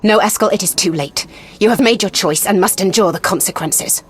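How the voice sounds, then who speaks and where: A woman's voice: medium pitch, a little fast, noisy background, natural voice. Bitter, vengeful young woman played by an actress. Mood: bitter, accusing. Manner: formal, accusing. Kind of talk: video game voice acting.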